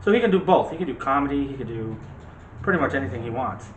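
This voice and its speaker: A man's voice: medium pitch, animated, fast. A man co-hosting a casual, opinionated movie discussion, talking emphatically and straight to the viewers. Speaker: co-host of a movie discussion show. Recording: roomy echo with faint background noise.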